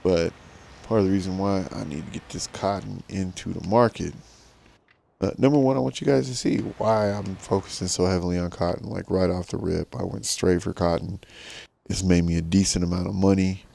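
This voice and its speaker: A middle-aged man with a rough smoker's voice, giving easygoing, rambling commentary to viewers while playing a farming game. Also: low voice, fairly even intonation, normal speed, dark tone. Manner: rambling, easygoing, self-deprecating. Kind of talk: casual gameplay commentary to viewers.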